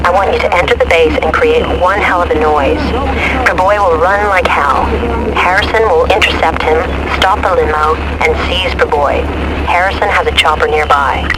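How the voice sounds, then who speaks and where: A woman's voice: deep voice, heavy background noise, natural voice. A woman playing a businesslike, dry-witted mission controller briefing an agent in a video game cutscene. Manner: businesslike, clipped, wry.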